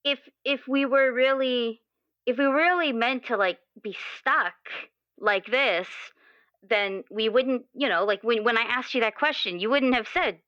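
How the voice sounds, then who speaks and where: A woman playing an earnest, questioning young character in improvised roleplay, speaking haltingly with many repeated words. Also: on the high side, a bit bright, clean tone, dry recording, highs cut off. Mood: earnest, insistent. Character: earnest, questioning twenty-year-old, restless with their assigned role. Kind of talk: improvised actual-play roleplay dialogue.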